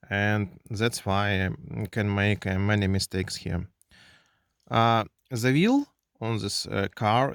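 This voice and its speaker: A man with a Russian accent reviewing a toy car at his desk, speaking halting English full of fillers and restarts. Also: on the low side, dry recording, clean studio sound. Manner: halting non-native English with many fillers. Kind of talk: hands-on unboxing review. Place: indoors at a desk.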